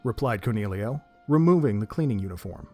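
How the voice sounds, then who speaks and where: Guy narrating a story, deepish voice, soft music under it. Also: brisk. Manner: steady, descriptive storytelling. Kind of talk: scripted audio drama narration.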